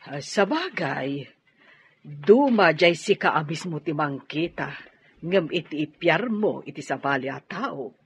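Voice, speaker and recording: A woman's voice: sits low, expressive, slow pace, husky, heavily acted. An actress playing a protective, stern middle-aged mother in a radio drama, giving firm advice. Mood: concerned, caring. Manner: firm, advising. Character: protective, stern mother urging her daughter to retaliate. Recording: dull lo-fi, dry radio drama audio.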